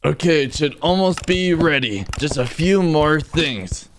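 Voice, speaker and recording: A man's voice: fairly high pitch, lively intonation, a little slow, a little hiss or hum. A man voicing an eager, bossy young stick-figure chef in a cartoon fan dub, talking in short casual instructions. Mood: focused.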